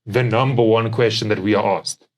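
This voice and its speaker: Young South African man, lowish voice, company co-founder in casual podcast conversation. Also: steady tone, normal speed.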